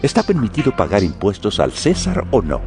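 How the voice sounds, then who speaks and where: An actor playing a scheming, flattering Pharisee who speaks rhetorically in a scripture audio drama. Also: male voice, on the low side, fairly quick. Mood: oily flattery. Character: scheming Pharisee flatterer questioning his teacher. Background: music.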